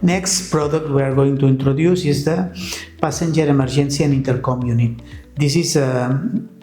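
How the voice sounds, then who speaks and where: A man with non-native English presents products in a step-by-step, somewhat halting way. His voice sits at a medium pitch in an echoey room, with music playing underneath. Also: steady tone, mellow. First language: not English. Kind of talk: semi-scripted product presentation.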